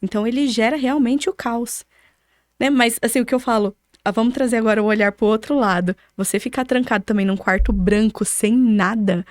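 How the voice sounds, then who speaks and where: A woman's voice: fairly high pitch, fairly even intonation, fairly quick, no echo, clean studio sound. A woman with a Brazilian Portuguese accent explaining things didactically, with examples, as an interview guest. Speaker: podcast guest, architect.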